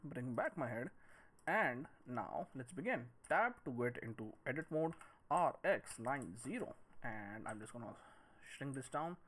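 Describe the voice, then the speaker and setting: Man teaching with a lively, swinging pitch. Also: measured pace. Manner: speaks in short phrases while working. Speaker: 3D modelling tutor. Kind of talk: step-by-step screen-recorded software tutorial, thinking aloud.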